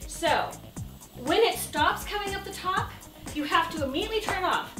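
A woman's voice: very high-pitched, animated, normal speed, roomy sound, far from the mic, music in the background. A woman hosting a cooking show, showing a guest how to cook in her home kitchen, chatty and playful, laughing often and adding sound effects. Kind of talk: casual cooking demonstration.

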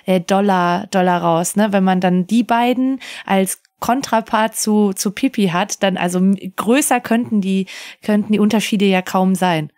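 A woman's voice: medium pitch, moderate pace, darkish tone. Woman chatting, thinking aloud. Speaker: podcast panelist. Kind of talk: casual discussion.

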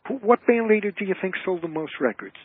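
A man's voice: high voice, quick pace, breathy edge. Man storytelling over a phone-like line. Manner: storytelling, explanatory. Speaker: guest entertainer and author. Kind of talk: interview anecdotes. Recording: narrowband phone-like radio line.

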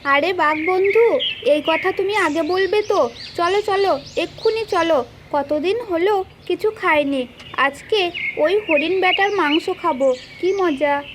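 A woman's voice: extremely high pitch, measured pace. A woman voicing a foolish, eager old crocodile, starving, in a children's cartoon story. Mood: eager, greedy. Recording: clearly noisy, birdsong behind.

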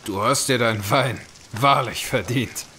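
A man's voice: mid-range voice, unhurried, sharp tone, slight background noise. A man acting a genial, generous tavern guest with an effusive, courteous manner in an audio drama. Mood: genial, appreciative.

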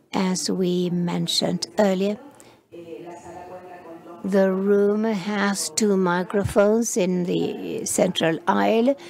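A woman giving live simultaneous interpretation of a conference session, halting often and restarting phrases as she renders the speakers. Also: medium pitch, vocal fry, a little mellow. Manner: halting, frequent restarts and fillers while rendering speech.

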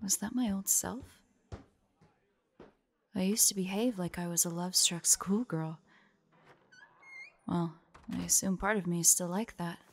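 A woman playing a weary, protective demon hunter in an audio drama, gentle yet resolute, with a measured, solemn delivery. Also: fairly low pitch, slight background noise. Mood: wistful.

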